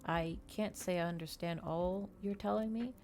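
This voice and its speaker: A woman's voice: on the low side, fairly even intonation, normal speed, a little mellow, natural voice. A woman voicing a nervous, earnest young male interviewer, hesitant in manner, for an audiobook.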